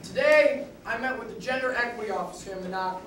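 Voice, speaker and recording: A man's voice: extremely high pitch, quick pace, fairly bright tone. A man playing a formal, stern camp director in an amateur stage play, making announcements from a stage in a large hall. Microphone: far room mic. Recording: noisy echoey hall from far off.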